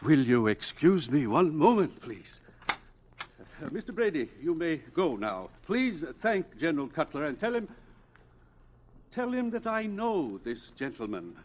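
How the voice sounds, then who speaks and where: An actor in a radio drama playing an eminent professor, formal and courteous, with a hesitant, curious manner. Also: male voice, on the high side, big pitch swings, a little slow, noisy background. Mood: eager, excited.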